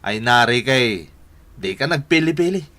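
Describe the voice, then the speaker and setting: An actor playing a nosy, good-natured village buddy in an Ilocano radio drama, prodding with drawn-out words. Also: male voice, mid-range voice, expressive, bright, forceful tone. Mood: curious, teasing.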